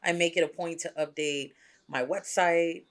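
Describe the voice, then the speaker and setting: A man from Mexico, a DJ guest, talking candidly in a relaxed interview and often checking in with "right?". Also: on the high side, normal speed. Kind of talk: casual interview talk.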